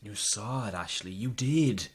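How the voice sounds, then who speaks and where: A man's voice: on the low side, lively intonation, measured pace, slightly rough. An actor playing an earnest, concerned colleague in an audio drama, plain-spoken and convinced of what he saw. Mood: insistent. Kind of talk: naturalistic audio drama acting.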